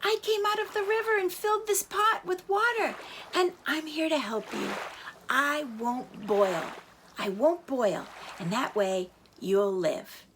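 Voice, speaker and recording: Brief: a gentle storybook river spirit, soft soothing voice, voiced by a woman. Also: high voice, lively intonation, unhurried, background noise, pitched above the performer's own voice.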